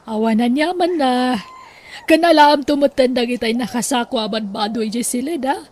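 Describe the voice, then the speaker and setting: An actress with an Ilocano accent playing a kindly, generous well-off employer in a radio drama. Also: female voice, fairly high pitch, moderate pace, a little mellow, natural voice.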